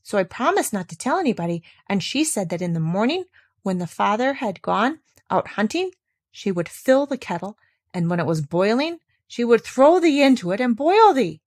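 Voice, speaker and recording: A woman's voice: a little high, sing-song, moderate pace, darkish tone. Woman reading as an earnest, devoted little girl. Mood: urgent. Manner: earnest, pleading, old-fashioned solemn phrasing.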